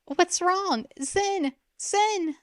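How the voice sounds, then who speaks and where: Frantic young sister, urgent and high-pitched, as a woman reads her. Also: extremely slow, no echo, studio quality, full character voice. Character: frantic worried teenage sister.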